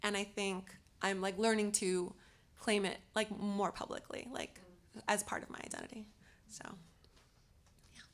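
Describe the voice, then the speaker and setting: Young woman, panel speaker, gravelly voice, halting, lots of fillers. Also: medium pitch, little pitch movement. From Los Angeles. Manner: hesitant, many fillers and restarts. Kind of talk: casual panel discussion.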